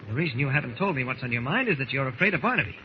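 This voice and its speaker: Man acting heroic protective leader, lively intonation, commanding, reassuring, old radio drama, dull recording. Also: medium pitch, racing, a little hiss or hum, lightly acted.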